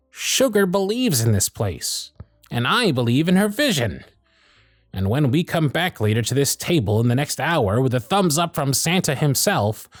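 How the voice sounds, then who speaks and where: Man acting an animated, proud workshop supervisor. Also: on the high side, no echo, studio quality, full character voice. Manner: theatrical, emphatic, sardonic. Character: gruff, proud, protective supervisor in Santa's workshop. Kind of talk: scripted ASMR roleplay acting.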